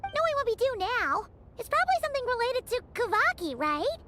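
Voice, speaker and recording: Squeaky, piercing little fairy companion. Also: female voice, sing-song, fairly quick, pushed and bright, slight background noise, full character voice. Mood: thoughtful. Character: small high-voiced childish fairy companion, indignant and nosy. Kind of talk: anime game voice acting.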